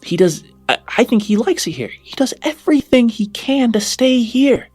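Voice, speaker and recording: A man's voice: extremely high pitch, expressive, normal speed, a little mellow, slightly rough, dry recording, faint music behind, pitched above the performer's own voice, exaggerated voice. A man voicing an exasperated, hesitant woman who looks after orphans in a tabletop game. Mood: worried, flustered. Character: flustered, scolding woman who runs an orphanage.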